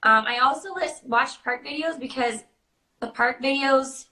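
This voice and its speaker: A woman with a bright, forward voice chats informally as she answers questions. Her phrasing is loose and halting, full of "like", and the room around her sounds noticeably echoey. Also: high-pitched. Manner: informal, hesitant phrasing peppered with "like". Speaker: guest answering questions about character voices. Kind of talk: casual Q&A chat.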